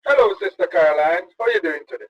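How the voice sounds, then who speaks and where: A man playing a polite, soft-spoken village pastor in a radio drama. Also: a little high, racing, muffled recording, very noisy, natural voice. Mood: courteous. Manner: gentle and courteous.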